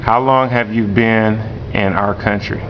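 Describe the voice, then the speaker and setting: A man, a teacher, interviewing a student slowly and repeating each answer back. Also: steady tone. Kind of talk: classroom interview. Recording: dull lo-fi recording with rumble.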